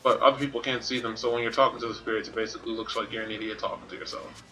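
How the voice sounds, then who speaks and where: A man's voice: medium pitch, level tone, very fast, sharp tone, poor audio. A man doing casual game commentary, musing playfully to himself and reading out what is on screen. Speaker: gaming streamer playing and commenting. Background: game music.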